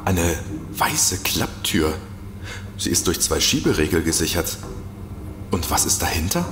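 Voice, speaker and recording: An actor playing a nervous, reluctant investigator in an audio drama, hesitant and stammering. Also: male voice, low voice, very animated, a little slow. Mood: curious, wary. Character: nervous, reluctant male investigator, easily spooked. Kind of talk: German audio drama acting. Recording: echoey reverberant room with background noise.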